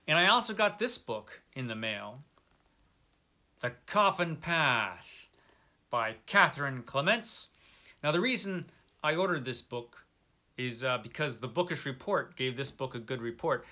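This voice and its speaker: A man's voice: mid-range voice, lively intonation, a little slow, pushed and bright. A man hosting a book video, chatting casually to viewers in a wry, self-deprecating way. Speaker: host of a book review video channel. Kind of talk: casual chat to camera about books.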